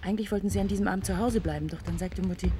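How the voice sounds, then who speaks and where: A woman's voice: mid-range voice, racing, warm tone, natural voice. An actress playing a modest, matter-of-fact young woman in a German radio play, with a plain, reflective delivery. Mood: reflective, resigned. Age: young adult.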